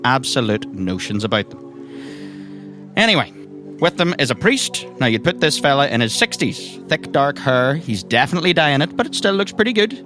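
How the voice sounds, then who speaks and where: A man's voice: mid-range voice, very animated, moderate pace, fairly bright tone. An Irish man narrating a tabletop game as game master, with wry, colourful descriptions. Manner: colourful, droll turns of phrase. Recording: clear music behind the voice. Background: music.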